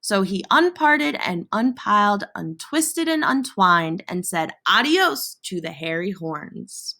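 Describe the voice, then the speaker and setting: Slow, animated woman reading a storybook. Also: mid-range voice. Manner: theatrical, dramatic pauses and emphasis on alliterative words. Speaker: storybook reader. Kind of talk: expressive children's picture book read-aloud.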